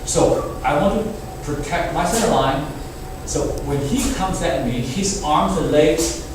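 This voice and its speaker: A man's voice: a little high, unhurried. A man from Taiwan teaching a martial arts class, explaining loosely and often checking in with "okay?" and "right?". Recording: echoey hall, distant mic, background noise.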